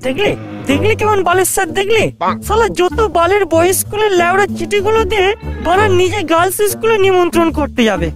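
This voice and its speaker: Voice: very high voice, quick pace. A performer voicing a cheeky teenage schoolboy in a comic cartoon, with crude, slangy griping and music underneath.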